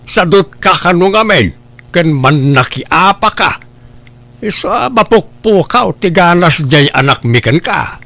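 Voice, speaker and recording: An older Filipino father-in-law, played by a man, flustered and defensive in a comedy drama. He has a fairly high male voice and a hesitant delivery. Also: lively intonation, unhurried, creaky, sharp tone, exaggerated voice.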